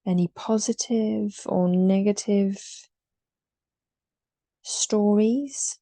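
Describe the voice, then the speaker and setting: Very slow, mellow woman guiding meditation. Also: mid-range voice, dry recording. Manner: soothing, unhurried, with long pauses between short phrases. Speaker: guided meditation leader.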